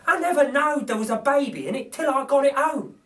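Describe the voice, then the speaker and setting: A man's voice: very high voice, expressive, brisk, a bit bright, voice pushed up. A man voicing a good-natured, plain-spoken working-class male burglar with colloquial speech in a children's storybook. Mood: matter-of-fact. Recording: distant microphone.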